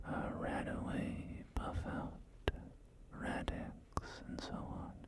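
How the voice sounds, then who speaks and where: A man whispering an ASMR roleplay as a polite, helpful real estate agent, in gentle, unhurried sales patter, with music behind him. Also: fairly low pitch, vocal fry. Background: loud music and game audio.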